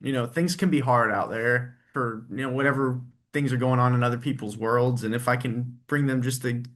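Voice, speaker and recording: A man's voice: medium pitch, fairly even intonation, brisk, fairly bright tone. A man being interviewed, talking about his work in a friendly, rambling way and laughing easily. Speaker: guest, tribute performer and engineer. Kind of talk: casual interview chat.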